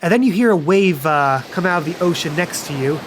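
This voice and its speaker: A man's voice: fairly high pitch, lively intonation, fairly quick, slight background noise. A man narrating an improvised tabletop game as game master, casual and playful in manner.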